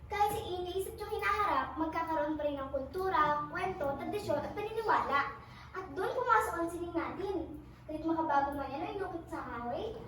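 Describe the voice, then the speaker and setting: A woman's voice: very high voice, a bit bright. A woman in a school stage play acting a spirited old grandmother weaver from the past, with emphatic, declamatory delivery. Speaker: actor in a school one-act play. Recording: echoey hall, distant mic, faint noise.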